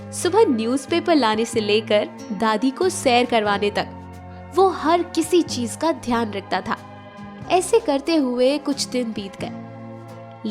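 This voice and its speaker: High-voiced woman narrating a story over music. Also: a little mellow. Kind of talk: scripted emotional storytelling narration. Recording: music bed behind narration. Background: background music.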